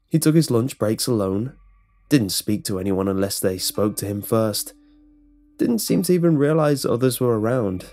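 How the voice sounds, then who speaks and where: A man plays a wry older male co-worker in a horror story, gossiping in a conversational, rambling way. His voice is mellow and mid-pitched, with faint music behind it. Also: close to an everyday voice. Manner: casual, conversational, rambling recollection.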